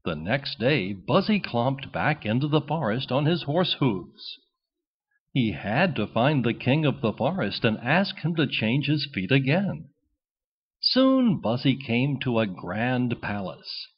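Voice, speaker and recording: A man reading a children's storybook as narrator in an expressive, scripted style. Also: medium pitch, takes it slowly, husky, dull audio.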